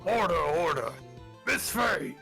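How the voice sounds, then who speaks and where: Woman as flustered old-school judge, gravelly, swoops up and down, game music behind. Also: on the low side, big pitch swings, takes it slowly, fairly bright tone, full character voice. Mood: startled, stern. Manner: blustering, declamatory. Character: blustering, easily flustered male courtroom judge. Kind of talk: amateur game voice acting.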